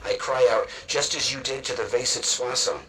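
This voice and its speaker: A man's voice: mid-range voice, normal speed, a bit bright, slight background noise, lightly acted, poor audio. A man playing a haunted, vengeful android warrior in a formal, declamatory game monologue. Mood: brooding, vengeful.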